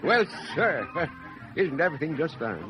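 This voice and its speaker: A man's voice: fairly high pitch, sing-song, moderate pace, over loud music. A man acting a jovial, folksy old father in a 1950s radio drama, hearty and chuckling. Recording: dull vintage radio broadcast.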